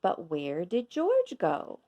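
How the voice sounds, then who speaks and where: A woman reading a children's storybook aloud as a teacher would, with expressive, measured storytelling for young children. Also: fairly low pitch, highs cut off. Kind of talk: scripted children's storybook narration.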